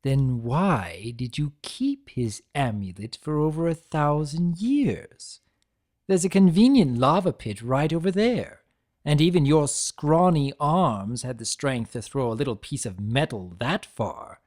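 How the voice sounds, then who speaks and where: A man performs a male chaos spirit from a dramatic storybook reading. The delivery is theatrical and lively, leaping in pitch and lingering on emphatic words. The character needles and taunts, yet sounds sympathetic. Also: unhurried. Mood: taunting, scolding.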